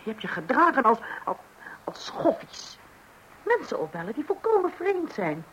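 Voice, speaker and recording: A woman's voice: on the high side, wildly swooping pitch, moderate pace, a bit bright, a little hiss or hum, poor audio. Stern scolding mother.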